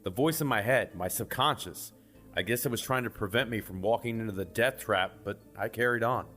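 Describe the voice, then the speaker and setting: A man's voice: on the low side, a little fast, pushed and bright. A man reading a scripted first-person creepypasta as a horror story narrator. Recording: music behind the voice.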